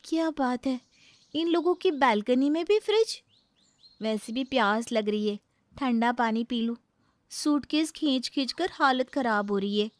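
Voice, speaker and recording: A woman's voice: high voice, animated, a little slow, no echo. A woman playing a young, polite but exasperated new daughter-in-law in a comic family story, with a pleading, plaintive manner.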